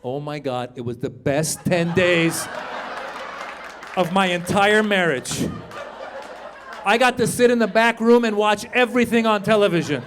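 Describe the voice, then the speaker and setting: A man performing a stand-up comedy set on a comedy club stage, talking with the crowd and acting out loud voices. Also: high-pitched, a little slow, pauses often, slight background noise. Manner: animated, crowd work, acts out loud voices.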